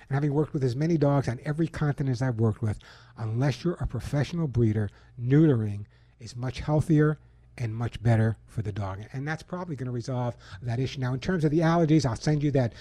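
A man's voice: medium pitch, warm tone, dry recording. Man, radio pet show host, self-assured lecturing tone, close dry studio mic. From Long Island, New York. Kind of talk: call-in radio advice and live reads. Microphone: studio microphone.

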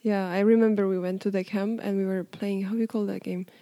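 A woman's voice: medium pitch, level tone, quick pace, mellow. A young woman with a Polish accent interviewing a friend in a playful, teasing casual chat. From Poland. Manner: playful, teasing, laughs often. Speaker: guest interviewer.

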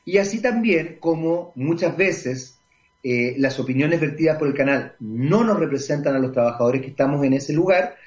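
A Chilean man hosting a radio talk show, giving commentary and interviewing a guest. He pauses often with drawn-out fillers. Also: on the high side, expressive. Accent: Chilean Spanish. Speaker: radio host. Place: radio studio. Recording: dull lo-fi radio broadcast.

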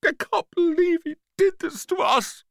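A little bouncing pea from a children's tale. It whines plaintively in a very high, bright squeak, swooping about. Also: male voice, sing-song, measured pace, dry recording, clean studio sound, heavily acted. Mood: tearful. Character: small whining, tearful bouncing peas.

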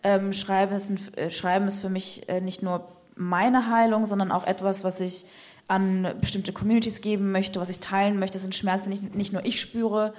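A mid-pitched woman speaks in a reflective, conversational way. She pauses to search for her words and fills the gaps with small hesitations. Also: little pitch movement, a little mellow. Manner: thoughtful, searching for words with fillers. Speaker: poet guest.

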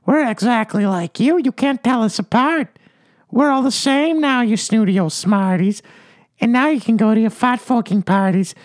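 A man voicing a crowd of snooty, bragging storybook creatures in a shrill, gloating tone. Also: moderate pace, dry recording, clean studio sound.

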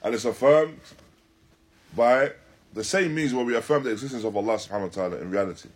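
A man with a slightly husky, mid-pitched voice delivers a religious lesson. He speaks deliberately and with emphasis, reading from a text and explaining it, often repeating a phrase in other words. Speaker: religious teacher giving a lesson. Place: Islamic centre, live stream.

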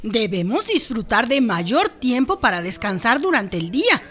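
A dutiful Mexican countrywoman for radio drama. Her voice is high and sharp, with very animated intonation. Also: moderate pace. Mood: earnest. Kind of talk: radio soap acting.

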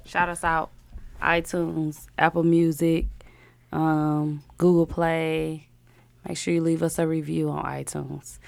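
A woman hosting a casual podcast with co-hosts, her voice on the low side and her delivery slow and loose. She drifts through fillers and laughs easily. The sound is dry and close. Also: creaky, no room sound. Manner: relaxed, laughing, trailing off with fillers. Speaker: podcast host.

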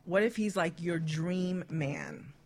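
A woman's voice: on the low side, slow pace, darkish tone, a little hiss or hum. A woman on a radio talk panel, chatting casually and putting questions to the others.

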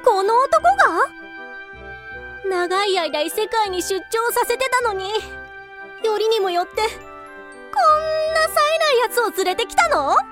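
A haughty young sorcerer's daughter in a fantasy radio comedy. She speaks in a very high, unhurried, dismissive voice over tinkling music. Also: lively intonation. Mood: haughty, doubtful.

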